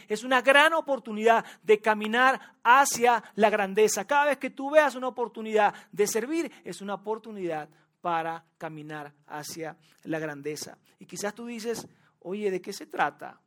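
A man's voice: high-pitched, normal speed, fairly bright tone. A man from Venezuela with a Venezuelan accent, preaching a sermon to a congregation, talking to them directly and repeating his key phrases for emphasis. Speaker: pastor.

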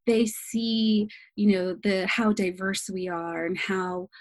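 A woman guest on a podcast, talking in a lively, casual way, restarting her sentences often. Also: mid-range voice, slow, dry recording. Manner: animated, restarts sentences, many fillers. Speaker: travel journalist guest.

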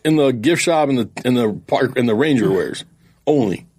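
Man, husky, lively pitch, boastful playful banter. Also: moderate pace. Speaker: podcast co-host. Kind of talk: casual chat.

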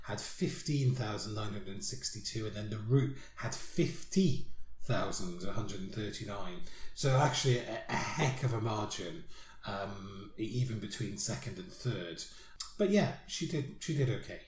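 A man's voice: lowish voice, lively intonation, moderate pace, slight background noise. A man co-hosting a casual chat about songs, rambling and laughing often. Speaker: podcast co-host. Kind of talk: casual music review chat. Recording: roomy echo.

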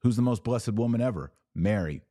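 A man's voice: on the low side, expressive, a little fast, dark tone, dry recording, clean studio sound. An American man hosting a religious podcast, talking informally to online viewers in an emphatic way with repeated phrases and rhetorical questions.

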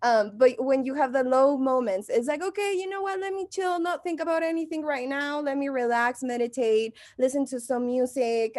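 A woman's voice: sits high, dry recording, studio quality. Perky woman with a Mexican accent. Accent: Mexican Spanish. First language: Spanish. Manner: perky, animated, laughs often. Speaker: podcast guest, actor. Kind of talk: casual conversation.

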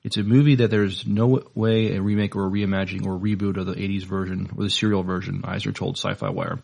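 Low, creaky-voiced hesitant man. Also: little pitch movement, darkish tone. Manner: hesitant, halting delivery. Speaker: podcast co-host. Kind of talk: casual news chat, partly reading notes.

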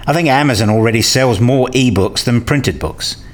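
A man acts out an eager, opinionated debater in a radio play. He speaks at an average male pitch and lays out his arguments in scripted, explanatory dialogue. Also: normal speed, natural voice. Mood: enthusiastic, confident. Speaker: radio play character in discussion.

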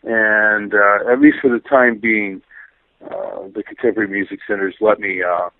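Phone-line interviewee: middle-aged man, lowish pitch, narrow tinny sound, reflective, halting conversational delivery. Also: fairly even intonation, a little hiss or hum. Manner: halting, thoughtful, frequent pauses. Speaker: interview guest, musician and teacher. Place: remote phone call.

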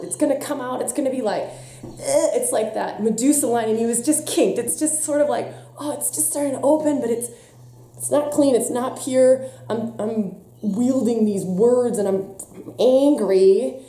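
A woman's voice: a little high, echoey room. A woman giving an impassioned spiritual talk, emphatic and intense by turns, with a slightly stuffy voice. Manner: passionate, emphatic, swings between soft and intense. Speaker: spiritual teacher.

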